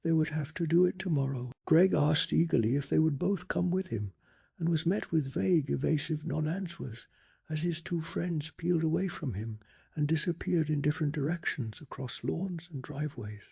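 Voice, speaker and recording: A man softly narrating a bedtime story in a calm, hushed ASMR style, telling it with deliberate drama. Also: a little high. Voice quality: soft, breathy.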